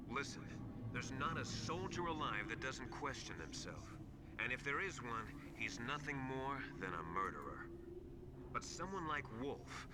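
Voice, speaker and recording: A stern, seasoned military mentor voiced by a man for a video game. He lectures gravely at a medium male pitch, over loud noise in the game audio. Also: close to an everyday voice.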